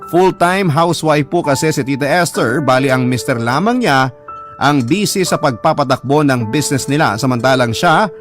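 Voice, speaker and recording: A man reading a listener's heartfelt letter aloud as earnest, polite scripted narration. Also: mid-range voice. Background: background music.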